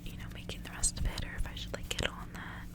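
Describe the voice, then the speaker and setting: A woman whispering close to the mic in a breathy, unvoiced ASMR style, unhurried and informal as a host. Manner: casual, rambling, frequent filler "like". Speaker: ASMR host reading a book aloud. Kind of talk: whispered ASMR reading and casual chat. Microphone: close ASMR microphone.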